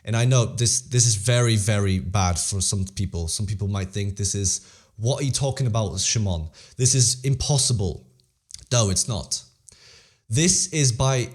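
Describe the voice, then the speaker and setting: A man's voice: medium pitch, darkish tone. Earnest teenage guy giving motivational advice. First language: Polish-English bilingual, English stronger. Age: teens. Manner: earnest, repeats phrases, restarts sentences. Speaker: interview guest. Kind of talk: casual motivational talk.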